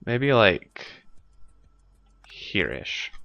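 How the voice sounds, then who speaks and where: A man with a congested, stuffy nose talks his way through a building game, thinking aloud and padding with fillers. His voice sits low, yet it comes across bright and smooth on a desk mic. The recording is dry but a little dull. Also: very animated, extremely slow, dry recording, soft background music. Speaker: let's play host. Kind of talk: casual gameplay commentary. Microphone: desk microphone.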